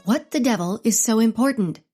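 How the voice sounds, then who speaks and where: A woman's voice: a little high, expressive, a little fast, over music, natural voice. An actress playing a proud, formal, melodramatic lady botanist, declaiming solemnly in a comic audio drama. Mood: sardonic.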